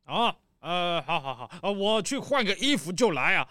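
An actor playing a pompous, gluttonous rich male crocodile boss in a children's audio drama, showy, with drawn-out exclamations and sighs. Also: high-pitched, very animated, bright, forceful tone, no room sound, studio quality, heavily acted. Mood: pompous, boastful.

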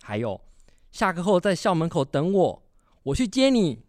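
A man playing a lively, nagging worried dad. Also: high voice, normal speed, dry recording, studio quality. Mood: insistent. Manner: exasperated, insistent. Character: worried, nagging middle-aged son and father. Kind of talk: family radio drama acting.

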